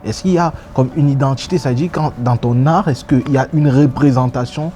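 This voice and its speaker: A man's voice: mid-range voice, fairly quick, slight background noise. A man hosting an interview, asking long questions with many stammered restarts and frequent affirmations. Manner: stammering restarts, warm affirmations, laughs along. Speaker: interview host. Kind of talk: casual interview conversation.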